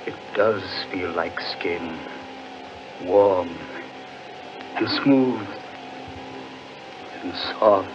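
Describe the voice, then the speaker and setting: Spooked gentleman in old radio horror, lowish voice, big swings, muffled noisy recording. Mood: awed. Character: uneasy gentleman, Danvers, sensing evil in woods. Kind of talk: 1940s radio horror acting.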